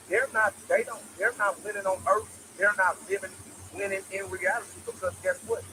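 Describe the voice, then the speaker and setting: A man's voice: high-pitched, background noise. A man, giving a casual, preachy motivational monologue full of repetition and emphasis. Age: 20s. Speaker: motivational vlogger.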